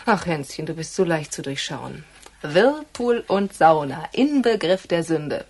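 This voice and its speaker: A woman's voice: sits low, expressive, measured pace, a little mellow, breathy edge, heavily acted. An actress playing a perceptive, teasing woman who is an intimate confidante, in a radio crime drama, with knowing phrasing.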